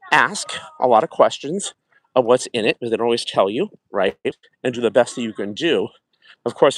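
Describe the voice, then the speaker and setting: A man's voice: medium pitch, no room sound. Chatty man livestreaming on a walk. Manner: chatty, reflective, greets viewers warmly by name. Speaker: wellness livestream host. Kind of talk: casual livestream talk while walking. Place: outdoor track at night.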